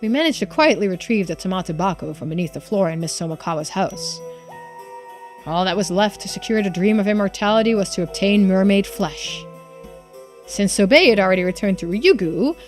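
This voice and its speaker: A woman's voice: mid-range voice, lively intonation, moderate pace, darkish tone, faint music behind, natural voice. A woman voicing a guilt-ridden, determined schemer in a mystery game, reading the dialogue aloud with earnest theatricality. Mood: grim, regretful. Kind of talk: dramatic read-aloud of visual novel dialogue.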